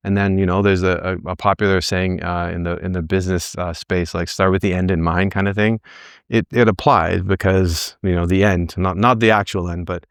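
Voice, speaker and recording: A man's voice: deep voice, fairly even intonation, fairly quick, clean tone, dry recording, studio quality. A man in his early forties giving an informal motivational coaching talk, conversational, often checking in with "right?" and voicing his own thoughts as quotes. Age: early 40s. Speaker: back pain coach leading a group session.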